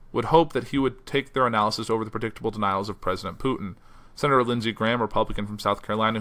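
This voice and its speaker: A man hosting a solo news podcast. He reads news quotes aloud and chats loosely between them, and his voice is going hoarse from a stressful week. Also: medium pitch. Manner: reads quotes in a flat newsy voice, then chats loosely with fillers. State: losing his voice. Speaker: podcast host. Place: house.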